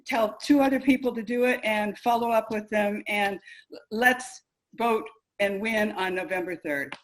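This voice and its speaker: A woman's voice: medium pitch, unhurried, slight background noise, poor audio. A woman making a direct, instructive appeal to an audience.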